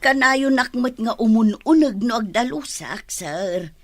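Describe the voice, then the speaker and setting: A household helper played by an actress speaks deferentially yet inquisitively in a family drama. Her voice is fairly high and lively, paced slowly in a close, dry recording. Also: no room sound. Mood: matter-of-fact, curious. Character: plain-spoken household helper addressing her employer.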